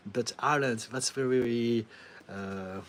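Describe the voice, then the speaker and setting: A middle-aged man from France with a French accent, a therapist calmly answering viewers' questions on a livestream, laughing now and then and filling pauses with ums. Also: mid-range voice, a little hiss or hum. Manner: calm, advising, laughs often, many ums.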